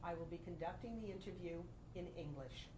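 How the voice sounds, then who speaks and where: A woman with a fairly low voice conducts an oral history interview. She speaks formally, spelling out names and dates. A faint hiss runs under the recording. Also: a little fast.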